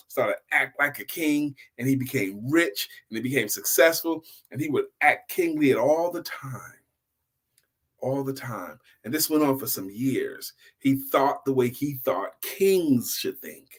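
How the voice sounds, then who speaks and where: A man's voice: medium pitch, fairly bright tone, slightly rough. An older man telling an informal bedtime story in a folksy way, often repeating phrases for emphasis. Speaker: storyteller, host.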